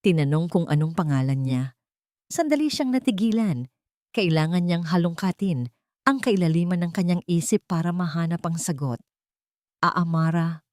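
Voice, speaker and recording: A woman's voice: fairly low pitch, lively intonation, moderate pace, mellow, dry recording, studio quality. A woman with a Filipino accent reading a scripted dramatic story as an audiobook narrator.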